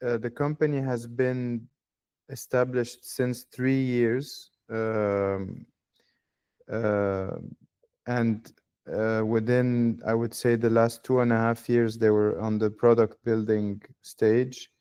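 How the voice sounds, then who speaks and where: A man managing a digital asset fund, explaining his business on a call in a hesitant, measured way with many pauses and fillers. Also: medium pitch, flat delivery, darkish tone, clean tone.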